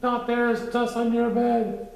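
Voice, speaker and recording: Man putting on a high-voiced, naive honey-loving bear. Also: flat delivery, a little slow, vocal fry, darkish tone, full character voice. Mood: curious. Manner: simple, earnest, curious. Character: hungry, naive, good-natured male teddy bear. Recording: strongly echoey room.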